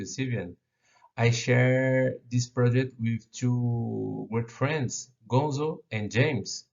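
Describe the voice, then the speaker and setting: Slow, gravelly Brazilian man presenting. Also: mid-range voice, a little mellow, muffled recording. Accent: Brazilian Portuguese. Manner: halting non-native English with fillers and restarts. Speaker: conference speaker. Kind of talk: conference presentation.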